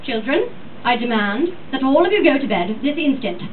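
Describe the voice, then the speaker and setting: A woman's voice: sits high, very animated, moderate pace, narrow, tinny audio, pitched above the performer's own voice. A woman voicing a stern, anxious Victorian headmistress from a gothic novel, formal, clipped and commanding. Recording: distant mic, thin sound, noisy room.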